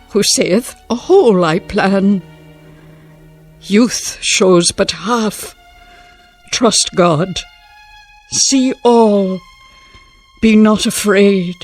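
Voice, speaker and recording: An actress playing a gentle, devoted elderly wife, tender, wistful and emotional, in a vintage drama. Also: female voice, mid-range voice. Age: older. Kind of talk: vintage television drama acting.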